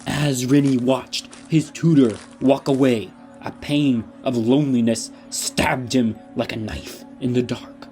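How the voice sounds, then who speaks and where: A man's voice: medium pitch, steady tone, measured pace, few pauses, mellow, soft background music. A man reading scripted storybook narration for an audio drama.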